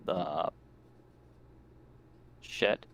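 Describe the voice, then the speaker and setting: A man's voice: extremely slow, a bit bright. A man chatting casually as a player in a tabletop roleplaying game over a voice call. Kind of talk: casual in-character game chat. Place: online voice call. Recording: noisy voice call.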